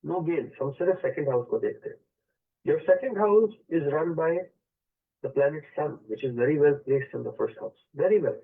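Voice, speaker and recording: A man's voice: fairly high pitch, animated, moderate pace, vocal fry, breathy edge. Man on tinny radio, mixing Hindi. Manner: mixes Hindi phrases into English, explains charts patiently. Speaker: radio astrology show host. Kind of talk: call-in radio consultation. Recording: tinny phone-line sound.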